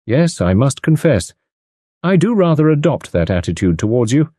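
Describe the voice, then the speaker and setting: A man voicing a fussy, excitable little elderly English gentleman with a prim, eager manner. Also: mid-range voice, moderate pace, no room sound, studio quality, voice pushed up. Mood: excited.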